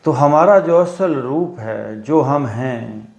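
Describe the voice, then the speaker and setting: A man teaching guided meditation, giving instructions in short phrases with long pauses between them. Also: medium pitch, animated, gravelly, lo-fi sound. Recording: roomy echo on the voice.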